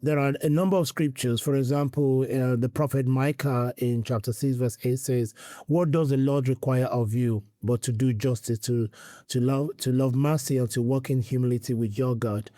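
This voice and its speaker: A man's voice: medium pitch, steady tone, normal speed, mellow, no room sound, clean studio sound. A middle-aged man from Nigeria, a priest answering interview questions earnestly and quoting scripture. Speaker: priest and guest.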